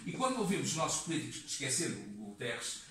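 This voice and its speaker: A man's voice: on the high side, sing-song, pushed and bright. Man lecturing in an echoey classroom. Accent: European Portuguese. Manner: halting, many fillers and restarts. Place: community classroom with seated audience. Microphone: far camera in the room. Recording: echoey room, voice from a distance.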